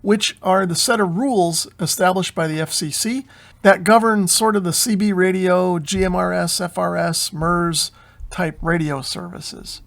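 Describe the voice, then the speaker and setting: An American man with a somewhat high voice explains things informally to viewers. His delivery is measured and conversational, with plenty of vocal fry running through it. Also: a bit bright. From United States. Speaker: video host, amateur radio hobbyist. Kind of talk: informal explainer commentary on radio news.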